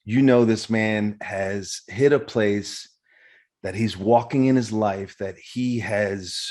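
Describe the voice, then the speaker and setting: Man, podcast host, low voice, effusive, earnest, halting fillers. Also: a little mellow. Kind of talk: interview intro and questions.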